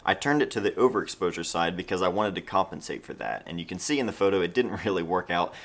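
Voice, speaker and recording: A man's voice: deep voice, lively intonation, fast talker, a bit bright. An American man in his thirties talking casually and conversationally to camera, explaining things in a laid-back way as a vlog host. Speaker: vlog host and film photographer.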